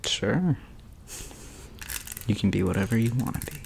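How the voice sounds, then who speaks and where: A woman doing a cocky teenage metalhead boy running a fantasy game, with a deep, gravelly drawl. The voice sounds somewhat distant from the mic, with a constant crackling, insect-like noise underneath. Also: animated, normal speed, a little mellow, exaggerated voice. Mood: casual. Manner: gruff, lowered drawl.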